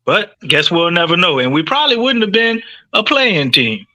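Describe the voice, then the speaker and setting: A man's voice: high-pitched, very animated, moderate pace, sharp tone, dry recording. A man with a New Orleans accent co-hosting a casual sports podcast and making wry, playful jokes. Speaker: podcast co-host.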